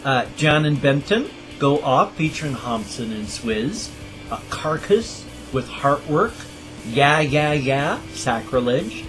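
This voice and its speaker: A man's voice: fairly high pitch, rough recording. A middle-aged man hosting his own channel, chatting casually to camera in a rambling, self-deprecating way. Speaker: channel host. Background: guitar music.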